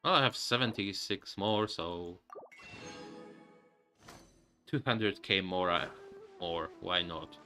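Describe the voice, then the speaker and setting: Male streamer, lowish bright voice, slow rambling casual commentary, faint music behind. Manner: hesitant, rambling, thinking aloud. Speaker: game streamer. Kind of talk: casual live gaming commentary.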